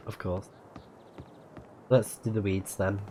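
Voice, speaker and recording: A man's voice: lowish voice, warm tone, a little hiss or hum. A man giving casual live let's play commentary, musing aloud and reacting as the game goes. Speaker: game streamer.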